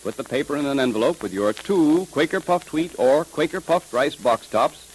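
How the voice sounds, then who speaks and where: A man's voice: fairly high pitch, animated, normal speed, husky, poor audio. A man with an American accent announcing in emphatic, polished 1930s radio style, selling as he goes. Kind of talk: 1930s radio announcing, scripted sales pitch. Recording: hissy 1930s radio recording.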